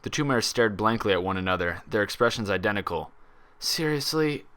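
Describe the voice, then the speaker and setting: A man reading a story aloud as a narrator, in an expressive scripted style. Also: lowish voice, fast. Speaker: fan fiction narrator.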